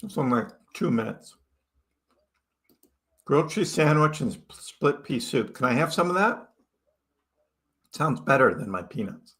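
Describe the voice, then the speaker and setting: A man's voice: mid-range voice, expressive, a little slow, long pauses, fairly bright tone. A man from Chicago hosting a crypto livestream, chatting casually and greeting viewers by name. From Chicago, United States. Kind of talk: casual live chat reading viewer comments.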